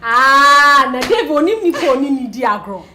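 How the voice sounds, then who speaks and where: Seorang wanita yang memerankan wanita muda yang gelisah dan mengeluh karena ditinggal kekasihnya. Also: female voice, high voice, lively intonation, normal speed, pushed and bright, a little hiss or hum, full character voice. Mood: suspicious. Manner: agitated, complaining. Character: distressed young woman, jilted by lover.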